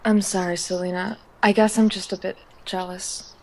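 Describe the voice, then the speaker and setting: A woman's voice: medium pitch, flat delivery, normal speed, a little mellow, slight background noise, close to an everyday voice, poor audio. A woman acting an insecure, romantically unlucky teenage schoolgirl in an amateur audio drama, self-pitying and hesitant. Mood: sulky, defensive.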